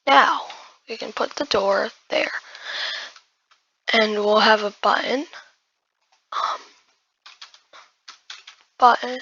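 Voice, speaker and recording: A hoarse woman who has just woken up, giving casual gameplay commentary while building and often muttering in half-whispers to herself. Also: on the high side, extremely slow, long pauses, bright, forceful tone, dull audio. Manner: muttering half-whispered asides to self, addressing viewers as guys. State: hoarse, losing voice after just waking up. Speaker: gaming video creator.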